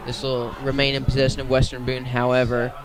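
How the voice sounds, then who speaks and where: A man's voice: mid-range voice, monotone, a little fast, rough recording. A man doing live radio play-by-play of a football game outdoors, in short clipped phrases. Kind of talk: live radio sports commentary.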